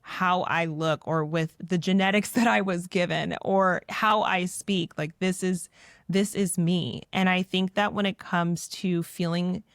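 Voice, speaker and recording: A woman's voice: on the low side, steady tone, unhurried, dry recording, clean studio sound. A woman hosting a podcast, speaking earnestly and reflectively and asking guiding questions. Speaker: podcast host.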